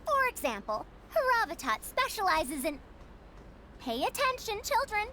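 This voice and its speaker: A woman's voice: extremely high pitch, big pitch swings, moderate pace, sharp tone, a little hiss or hum, full character voice. A woman playing a proud, theatrical scholar and inventor in an anime-style game, lecturing children with patient self-importance.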